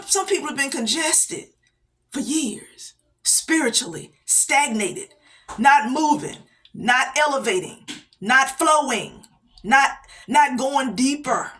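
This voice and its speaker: A woman giving a motivational faith talk, preaching with repeated phrases and soft laughs between points. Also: high voice. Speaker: inspirational speaker.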